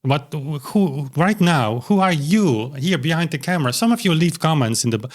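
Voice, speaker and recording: A man's voice: on the high side, lively intonation, moderate pace. Rambling man talking to camera. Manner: rambling, with many restarts and fillers. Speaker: YouTube channel host. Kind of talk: casual talk to camera. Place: small cozy home studio.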